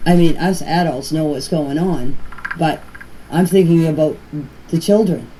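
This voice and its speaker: A woman's voice: deep voice, unhurried, dark tone. An older woman talking casually in rambling, plain-spoken voice messages, often repeating herself. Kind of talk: casual personal voice messages to a group chat. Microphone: phone voice messages. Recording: noisy amateur voice message.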